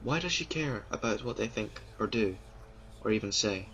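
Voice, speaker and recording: A man narrating a fan audio drama in a deliberate, ominous manner, sometimes dropping toward a whisper. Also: fairly low pitch, expressive, a bit bright, a little hiss or hum, rough recording. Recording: music playing behind.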